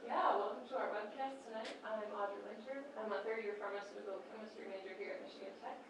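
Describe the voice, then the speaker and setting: A young woman from Wisconsin, a student co-hosting a casual webcast chat, relaxed and full of fillers. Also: medium pitch, fast talker, far from the mic, crowd noise. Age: young adult. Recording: echoey room, voice from off-mic, room noise.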